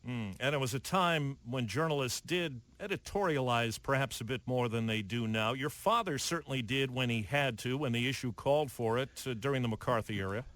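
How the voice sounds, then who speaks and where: A man's voice: medium pitch, fairly quick, few pauses, a bit bright. An American man hosting a radio interview with prepared, broadcast-style questions.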